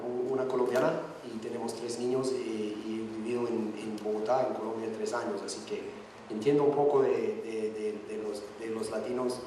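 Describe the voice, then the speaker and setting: A man's voice: medium pitch, a little mellow, far from the mic, slight background noise. Hesitant man in an echoey hall. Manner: hesitant, halting non-native Spanish. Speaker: guest speaker. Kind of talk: conference talk, partly read from notes. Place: large conference hall.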